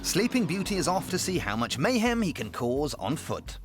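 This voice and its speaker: A man narrates police footage with a wry, teasing delivery, his pitch rising and falling widely as he lands each joke. A music bed plays clearly under him. It is polished scripted voice-over. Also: very animated, moderate pace. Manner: dry, mocking, tongue-in-cheek.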